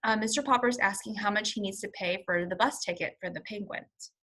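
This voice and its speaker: A woman reading a children's story aloud as a first-grade teacher, expressive and instructional, pausing to explain words simply. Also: mid-range voice. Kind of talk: children's read-along with vocabulary explanations.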